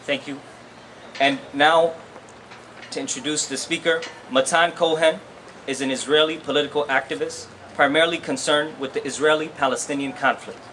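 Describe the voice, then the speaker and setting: A man's voice: on the high side, fairly even intonation, moderate pace, bright, forceful tone. A man acting as event emcee, making announcements and reading out a formal introduction. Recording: noisy live event recording.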